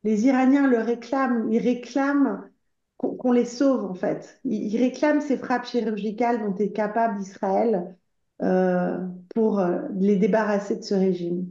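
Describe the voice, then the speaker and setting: A woman from Iran addresses a conference audience with earnest urgency, pleading for action between frequent hesitant fillers. Her voice is mellow and dark, of middle pitch. The room is roomy and echoing, and the recording sounds slightly dull and muffled. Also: fairly even intonation, a little slow. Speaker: association spokeswoman speaking at a conference. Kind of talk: impassioned conference speech.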